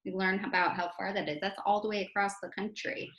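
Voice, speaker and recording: An American woman reading poems aloud to children in an expressive way, speaking directly to her young listeners. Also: mid-range voice, a little fast, muffled recording. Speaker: children's poem reader and teacher. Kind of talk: animated read-aloud for children. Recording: roomy, echoey sound.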